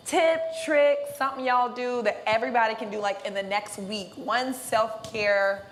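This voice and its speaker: Higher-voiced woman hosting casually. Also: normal speed, a little hiss or hum. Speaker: event host. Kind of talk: casual chat addressing panel and audience. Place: live panel event in a room.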